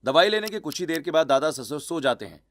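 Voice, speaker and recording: A man's voice: on the high side, animated, fast, fairly bright tone, dry recording. A man reading scripted narration for a cartoon family story. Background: background music.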